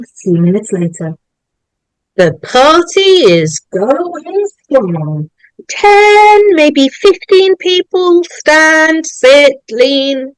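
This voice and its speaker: Female narrator for a script read: unhurried, very expressive, close dry sound. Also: high-pitched, dry recording, rough recording. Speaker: narrator of a staged table read. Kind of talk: reading screenplay directions aloud.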